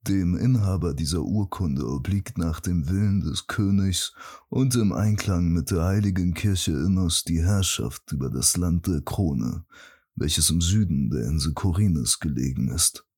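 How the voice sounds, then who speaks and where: An actor playing a solemn royal herald who reads an old feudal charter in a stately, measured, declamatory way for an audio drama. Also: male voice, deep voice, mellow, slightly rough, heavily acted. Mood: solemn, stern. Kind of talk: formal archaic proclamation in an audio drama.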